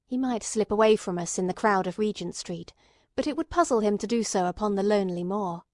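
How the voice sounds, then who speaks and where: Female audiobook narrator, medium pitch, measured formal delivery, classic fiction, very little room sound. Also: dry recording, clean studio sound.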